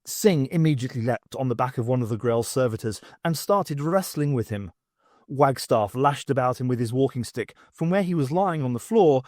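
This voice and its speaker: A man's voice: mid-range voice, a little mellow, no echo, clean studio sound. A man reading an audiobook as scripted, dramatic story narration.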